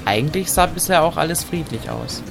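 A man's voice: a little high, animated, brisk, creaky. A young man in scripted audio drama dialogue, sounding like the sensible peacemaker of a comic group. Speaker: performer playing himself in audio drama. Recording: music bed behind the voice.

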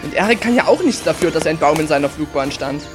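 A man's voice: a little high, animated, fast. A man acting in scripted amateur audio drama dialogue. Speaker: cast member playing himself in audio play. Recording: music behind the voice.

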